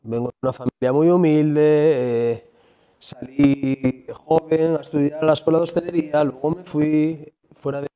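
A man in his forties from Asturias, speaking on a conference stage into a handheld mic, halting and repeating words and ending phrases with a questioning tag. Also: a little high, steady tone. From Asturias, Spain. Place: large conference hall. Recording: dull sound with long hall reverb.

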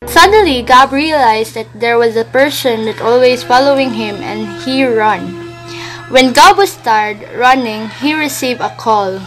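A woman's voice: a little high, measured pace, music in the background, rough recording. A woman narrating a scripted amateur radio drama.

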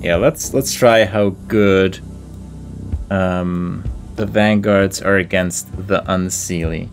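Low-voiced man musing slowly over a game. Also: steady tone. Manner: deliberative, musing to himself. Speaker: video game let's play host. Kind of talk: casual thinking-aloud gameplay commentary. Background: faint game music.

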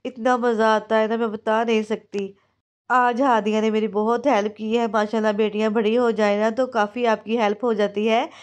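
A woman in her thirties with a Pakistani Urdu accent, chatting through a home vlog and voice-over, hoarse from a sore throat and cold, homely and confiding. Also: on the high side, little pitch movement, moderate pace. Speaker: home cooking vlogger. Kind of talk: casual vlog chat and voice-over. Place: small room at home, indoors.

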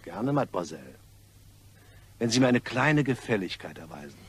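An actor playing a smug, mock-polite blackmailer in a crime radio play, with a suave and taunting manner. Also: male voice, fairly low pitch, very animated, normal speed, breathy edge, no room sound, full character voice. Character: smug male blackmailer, mockingly courteous and menacing.

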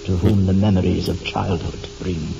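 A lonely, brooding gothic male narrator in an old horror radio play. His voice is low, slow and gravelly, over clear music on a dull, grainy recording. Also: steady tone. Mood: mournful.

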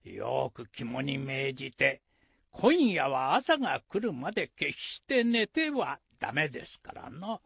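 An actor playing an elderly village elder in a radio folktale, husky and gravelly, admonishing in deliberate rustic phrasing. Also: male voice, medium pitch, big pitch swings, fairly bright tone, dry recording, exaggerated voice. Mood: solemn, instructive. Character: elderly male village elder, instructive and folksy. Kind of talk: radio drama acting.

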